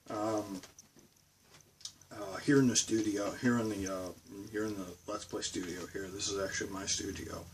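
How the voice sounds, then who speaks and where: A man's voice: fairly low pitch, fairly even intonation, normal speed, recorded from a distance, a little hiss or hum, poor audio. A man with a Boston accent rambling casually to his camera from his bedroom studio. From Massachusetts, USA. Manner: rambling, meandering talk. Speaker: vlogger.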